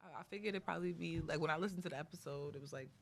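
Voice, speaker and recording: Stuffy-nosed woman, gravelly and low. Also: rapid-fire. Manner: chatty, playful. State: stuffy nose. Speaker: podcast guest. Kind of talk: casual chat before recording. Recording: noisy room ambience.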